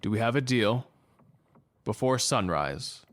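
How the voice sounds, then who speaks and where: An actor playing a weary, wry doctor in an audio drama, with a dry, understated delivery. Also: male voice, medium pitch, lively intonation, a little slow, dry recording, close to an everyday voice. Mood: wry challenge. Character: weary doctor, old estranged friend, wry and bitter.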